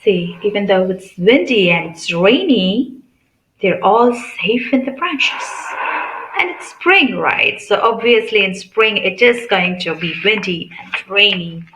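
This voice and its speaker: A woman's voice: mid-range voice, lively intonation, measured pace, a bit bright, roomy sound, rough recording. A woman reading a picture book aloud to children in a teacherly way, asking questions and explaining simply. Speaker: read-aloud channel host.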